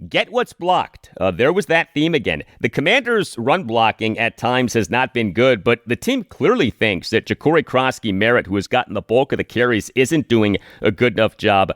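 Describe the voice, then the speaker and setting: A man hosting a sports analysis show, speaking in a measured, deliberate way and reciting statistics formally. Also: medium pitch, big pitch swings, a bit bright, no room sound, clean studio sound. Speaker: sports podcast host.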